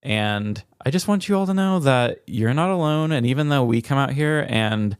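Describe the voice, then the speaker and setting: A man's voice: medium pitch, lively intonation, moderate pace, smooth, no echo, clean studio sound. A man co-hosting a casual comedic podcast, talking in an earnest, candid and informal way. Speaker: podcast co-host.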